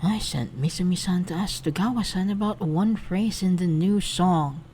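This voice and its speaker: A woman's voice: lowish voice, moderate pace, dark tone, a little hiss or hum, pitched below the performer's own voice, close to an everyday voice. A woman voicing a worried, insecure young woman who frets and calls out for her friends. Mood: anxious. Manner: fretful, building to calling out.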